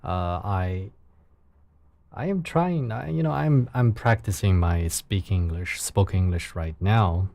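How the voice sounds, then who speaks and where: A man's voice: low voice, unhurried, gravelly, darkish tone, no room sound. A man from China with a Chinese accent and a soothing voice, chatting casually with viewers on his livestream in careful, searching second-language phrasing. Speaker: live streamer.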